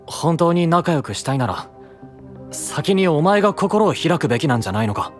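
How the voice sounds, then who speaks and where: A man playing a brusque, frank but caring young knight in an anime-style voice drama, husky and casual. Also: fairly high pitch, big pitch swings, normal speed, soft background music. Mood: frustrated. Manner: rough, casual, direct speech.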